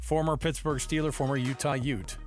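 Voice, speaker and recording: An American man working as a sports radio host, chatting casually and excitably in rambling, repetitive phrases. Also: medium pitch, moderate pace, over music. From Seattle, United States. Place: radio studio.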